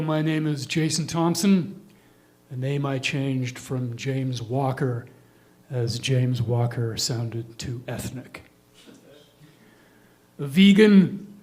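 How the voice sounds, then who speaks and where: A middle-aged man performing a scripted comic monologue in ornate wording, with deliberate, deadpan delivery. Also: a little high.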